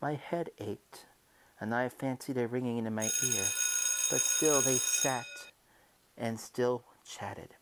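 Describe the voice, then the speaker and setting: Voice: mid-range voice, slow pace, noisy background, natural voice. A performer voicing a guilt-mad murderer in a dramatic, theatrical reading of a gothic horror tale. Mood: smug, hushed. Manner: theatrical, swinging from hushed to shrieking. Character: guilt-mad murderer narrating his confession, increasingly frantic.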